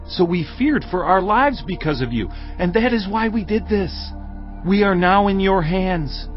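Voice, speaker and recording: High-voiced frightened male servant. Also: animated, unhurried, muffled recording, soft background music. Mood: fearful submission. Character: frightened, submissive male servant pleading for mercy. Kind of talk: dramatized audio Bible acting.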